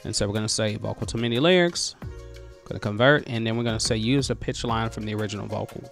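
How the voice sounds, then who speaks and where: A man in his thirties, an excitable, conversational host walking through music software in a home studio on a headset mic. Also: lowish voice, fast, gravelly. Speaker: music producer, tutorial host. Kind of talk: screen-share software tutorial. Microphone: headset with boom mic.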